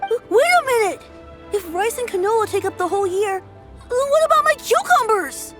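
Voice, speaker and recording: Very high-pitched small child. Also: female voice, animated, a little fast. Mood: upset. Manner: childlike, stammering when flustered. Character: small eager child, timid and easily upset. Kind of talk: video game voice acting. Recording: clear background music under the voice.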